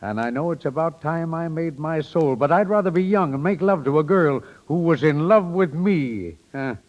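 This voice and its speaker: A gentle, philosophical older father played by a male actor in a 1930s radio drama. His fairly high voice moves through lively, varied intonation as he counsels like an old hand. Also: moderate pace, muffled recording, close to an everyday voice. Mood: wistful, reflective. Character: wistful, fatherly older man advising his son-in-law.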